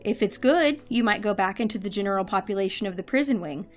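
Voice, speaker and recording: A woman's voice: mid-range voice, expressive, quick pace, lightly acted. A woman playing a sardonic, commanding interrogator in an audio drama, curt and sarcastic. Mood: sardonic, bargaining. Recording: faint music behind.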